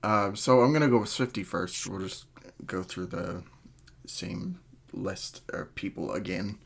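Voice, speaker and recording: A man hosting a casual podcast chat, talking in a halting, hedging way as he thinks aloud. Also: low-pitched, creaky, slight background noise. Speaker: podcast host.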